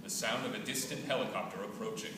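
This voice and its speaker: A man's voice: lowish voice, fast. A man reading out stage directions as scripted narration at a live play reading in a hall. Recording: distant echoey hall, noisy.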